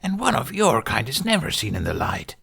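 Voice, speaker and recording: A man's voice: medium pitch, wildly swooping pitch, fairly quick, vocal fry, sharp tone, breathy edge, pitched above the performer's own voice, exaggerated voice. A man voicing a haughty, menacing older female dragon with a guttural voice in an audiobook. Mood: menacing, scornful. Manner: archaic, threatening phrasing.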